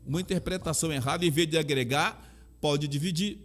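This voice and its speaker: A man with a Bahian Brazilian accent talks in an earnest, measured way, leaning on repetition to drive his points home. He speaks as a chaplain sharing his faith and his life story in a relaxed conversation. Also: fairly high pitch. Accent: Bahian Brazilian Portuguese. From Salvador, Bahia, Brazil. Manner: emphatic, repeats key phrases. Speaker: chaplain and guest.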